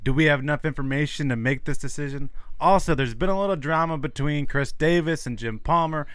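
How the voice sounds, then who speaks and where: A man's voice: a little high, brisk, a bit bright, clean tone, no room sound. A man hosting a casual sports podcast, eager and self-deprecating, who stammers and restarts his sentences often. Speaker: podcast host.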